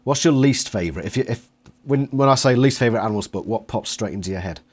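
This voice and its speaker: A man's voice: mid-range voice, lively intonation, quick pace. A man chatting casually about books as an interviewer.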